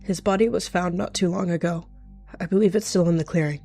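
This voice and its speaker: A man's voice: sits high, steady tone, brisk, vocal fry, darkish tone, soft background music. Man playing a muted, brooding warrior cat. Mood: grave, urging. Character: young warrior cat, quiet, brooding, secretly resentful.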